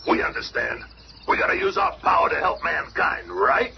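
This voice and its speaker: An actor playing a gruff, sarcastic tough-guy monster hero with gravelly, blunt, slangy speech in a radio drama. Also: a little high, huge pitch swings, moderate pace, exaggerated voice. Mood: gruff, resigned. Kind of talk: radio superhero drama acting. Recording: muffled, very noisy old radio broadcast.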